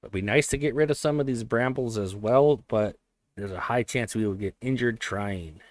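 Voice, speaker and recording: A man thinking aloud while he plays a strategy game, his voice a little hoarse, often correcting himself as he goes. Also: medium pitch, normal speed, no room sound. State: voice slightly hoarse. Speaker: let's play host. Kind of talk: casual gameplay commentary.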